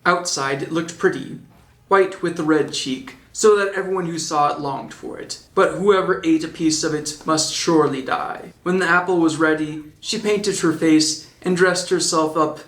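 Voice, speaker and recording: Male storybook narrator, higher-than-average voice, informal audiobook read in a reverberant room. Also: moderate pace, a bit bright. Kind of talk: storybook narration with joking asides.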